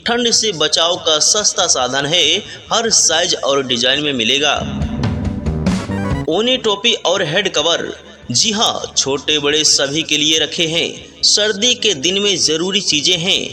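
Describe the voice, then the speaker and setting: A man with a very bright, forceful, fairly high voice delivers a scripted street sales announcement. He urges buyers in a plain, repetitive pitch, with music playing behind him. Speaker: street loudspeaker announcer for a winter clothing seller.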